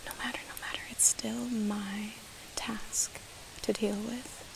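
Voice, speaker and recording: A woman in her twenties playing a curious, kindly wood nymph in an ASMR roleplay, whispering softly and musing hesitantly close to the mic. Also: fairly high pitch, little pitch movement, slow, gravelly. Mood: puzzled musing. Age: 20s. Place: outdoors in woodland. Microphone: close to the microphone. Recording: close whispered recording with hiss.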